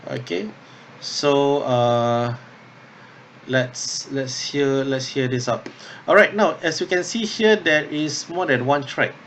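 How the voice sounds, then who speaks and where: A man instructor talking through an unscripted tutorial step by step, thinking aloud haltingly and often checking in with okay or yeah. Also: mid-range voice, a bit bright, slight background noise. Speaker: drama workshop instructor.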